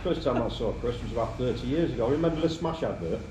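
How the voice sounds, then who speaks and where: A man's voice: medium pitch, animated, quick pace, reverberant, far from the mic, background noise. A man ribbing his friends in a casual chat with wry jokes. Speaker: guest. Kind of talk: casual banter.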